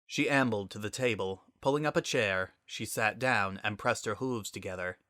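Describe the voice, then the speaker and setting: A man's voice: fairly low pitch, moderate pace, pushed and bright, no echo, studio quality. A man narrating a scripted story as a dramatic reading.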